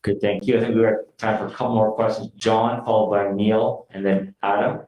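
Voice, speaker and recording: A man moderating a press conference, briskly calling on reporters by name. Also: fairly low pitch, little pitch movement, darkish tone. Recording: echoey room.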